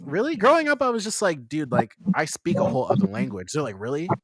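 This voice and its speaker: A man chatting casually, expressive and laughing often, with many filler words. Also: on the high side, quick pace, a crowd in the background. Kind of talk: casual chat.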